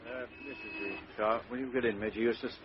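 An actor playing a calm, methodical male police chief inspector who questions in a measured, coolly ironic way, in a 1950s radio drama. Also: on the low side, heavy background noise, close to an everyday voice. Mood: probing. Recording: dull lo-fi old radio recording.

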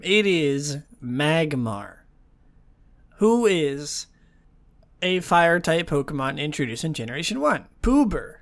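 A young man hosting a casual chat show, talking in a wry, rambling way full of fillers, his voice slightly hoarse. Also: a little high, a bit bright. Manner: chatty, wry, lots of fillers and restarts. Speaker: podcast host.

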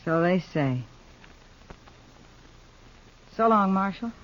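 A woman's voice: medium pitch, expressive, moderate pace, natural voice. Through the dull sound of an old radio western comes an actress as a hardened American frontier woman. She is weary but independent, speaking in a clipped, guarded way. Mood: guarded farewell. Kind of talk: 1950s radio western acting. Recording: muffled vintage 1950s radio recording.

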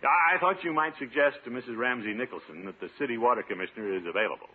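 A man's voice: mid-range voice, fast, close to an everyday voice. An actor playing a pompous, eager middle-aged city official in a 1950s radio comedy, blustering and bluffing his way along. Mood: confiding, hopeful. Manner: blustery, chuckling, hesitant self-important delivery. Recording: noisy, tinny 1950s radio recording.

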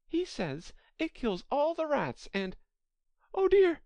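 A woman's voice: fairly high pitch, lively intonation, slow pace, darkish tone, no room sound, voice pushed up. A woman voicing a polite, chatty little girl in a children's storybook, earnest and apologetic as she rambles on. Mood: eager.

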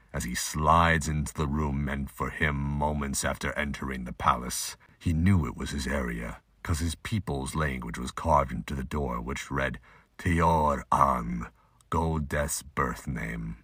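A man's voice: rumbling low, normal speed, few pauses, a bit bright, husky, dry recording. A man giving scripted audiobook-style narration of a fantasy audio drama. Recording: noisy amateur home recording, clicks.

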